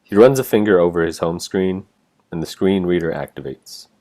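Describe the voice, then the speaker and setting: Male narrator for stage directions, low register, scripted play reading. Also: normal speed, warm tone.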